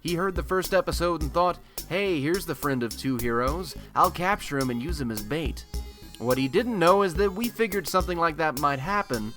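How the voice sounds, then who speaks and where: A man's voice: fairly high pitch, pushed and bright. A man playing a nerdy, cocky young broadcast host in a superhero radio play, talking in a chatty, sarcastic and emphatic monologue. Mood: cocky, taunting. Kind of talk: casual radio-show monologue in a scripted audio drama.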